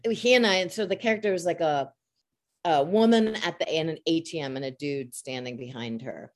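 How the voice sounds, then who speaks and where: A woman's voice: sits low, fairly quick. A woman from Chicago, a voice actor and podcast guest, telling stories animatedly, acting out quotes and swearing freely. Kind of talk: casual storytelling interview.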